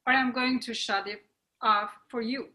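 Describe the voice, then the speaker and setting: A woman's voice: fairly high pitch, steady tone, moderate pace, bright, forceful tone. A woman voicing a police officer giving firm orders in a script reading. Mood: stern. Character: stern police officer on ferry. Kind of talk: readers theatre script reading.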